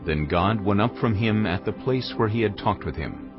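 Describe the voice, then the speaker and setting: A man's voice: fairly low pitch, lively intonation, moderate pace. A man narrating scripture from a script in a solemn storytelling manner. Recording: muffled audio under music. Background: music.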